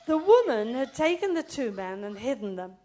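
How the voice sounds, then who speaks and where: A woman preaching a chatty sermon, loud, reading a Bible story aloud. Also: a little high, sing-song, moderate pace.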